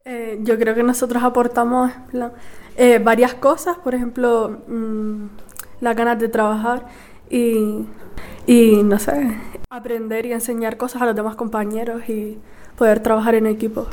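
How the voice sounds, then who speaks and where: A woman's voice: a little high, flat delivery, unhurried, a little mellow. A young woman from Gran Canaria, a workshop participant, giving casual interview answers with fillers.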